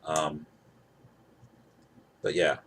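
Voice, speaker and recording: A man with a low voice talks casually to camera as he demonstrates a device. He rambles, circling back to the same points with emphasis. Some noise sits behind his voice. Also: monotone, extremely slow, a bit bright. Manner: repeats himself, rambling, emphatic. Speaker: gadget reviewer. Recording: clear background noise.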